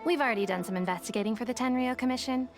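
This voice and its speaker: A woman voicing a shrewd, businesslike female detective with a smooth, sly manner. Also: on the high side, very fast, natural voice. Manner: smooth, sly, deal-making. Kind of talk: game voice acting. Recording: game audio under music.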